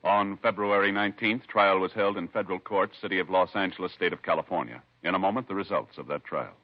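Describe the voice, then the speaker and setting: A man giving formal, measured scripted announcements in 1950s radio style. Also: low voice. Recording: dull 1950s radio recording. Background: music.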